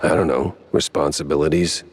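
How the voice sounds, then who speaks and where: Low-voiced wry cartoon detective. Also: male voice, fairly quick, husky, exaggerated voice. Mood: sardonic. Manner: wisecracking, deadpan. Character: wry hard-boiled cartoon mouse detective man. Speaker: private investigator protagonist. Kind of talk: 1930s noir cartoon game acting.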